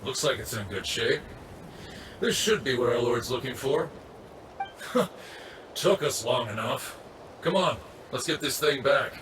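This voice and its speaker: A man voicing a gruff, menacing enemy soldier in a video game, theatrical, recorded roomy and distant. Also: medium pitch, lively intonation, unhurried, long pauses, close to an everyday voice. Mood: satisfied. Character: gruff enemy henchman soldier serving his lord. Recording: roomy, distant, faint background noise.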